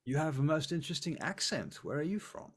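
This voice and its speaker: A man's voice: a little high, big pitch swings, brisk, breathy edge, voice pushed up, exaggerated voice. A man playing a cold, formal vampire prince. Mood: courtly. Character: suave imperious male vampire prince.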